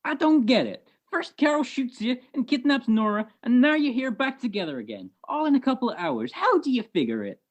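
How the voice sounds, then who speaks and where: A woman's voice: a little high, very animated, a little fast, hardly any pauses. Woman as old male cop, suspicious, wisecracking, swooping intonation, radio play, muffled video-call sound. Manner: tough-guy slang, needling and doubting. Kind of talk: 1940s radio detective play.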